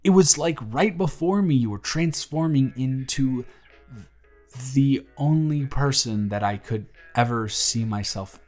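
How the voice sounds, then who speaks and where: A man playing an earnest young single dad in his twenties, talking softly and a little flustered in an audio roleplay. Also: mid-range voice, measured pace, faint music behind, close to an everyday voice. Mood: tender. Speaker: father confessing feelings to the babysitter.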